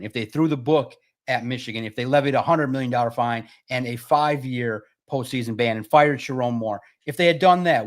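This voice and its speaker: A man arguing his case as a guest on a sports talk show, needling and emphatic. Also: mid-range voice. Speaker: guest pundit. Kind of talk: casual sports debate.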